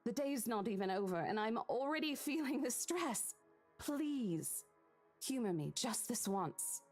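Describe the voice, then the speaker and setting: Gentle real estate agent. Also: female voice, fairly high pitch, big pitch swings, a little slow, soft background music. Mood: reassuring. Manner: reassuring, coaxing. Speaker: visual novel character.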